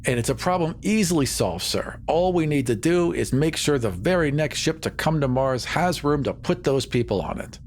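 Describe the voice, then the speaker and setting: A man playing a smooth-talking, assertive colony promoter in improvised roleplay, earnest and persuasive, pausing for emphasis. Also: on the high side, animated, slight background noise. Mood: confident, deferential.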